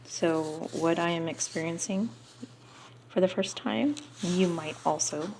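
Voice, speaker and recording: A woman reading a book aloud unrehearsed, halting here and there and slipping into casual asides. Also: on the low side, creaky, a little mellow, a little hiss or hum, poor audio.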